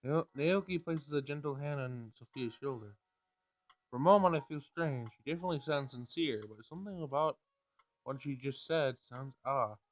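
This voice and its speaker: A man's voice: mid-range voice, normal speed, rough recording. A man hosting a gameplay recording, reading a visual novel aloud and adding casual commentary of his own. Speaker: gameplay host. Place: home screen recording. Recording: music behind the voice.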